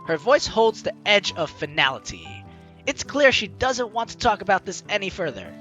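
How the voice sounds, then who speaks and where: A woman's voice: mid-range voice, wildly swooping pitch, normal speed, fairly bright tone, muffled recording, soft background music. A young woman hosting a let's play, reading game text aloud theatrically with playful, chatty asides. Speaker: gaming YouTuber, host.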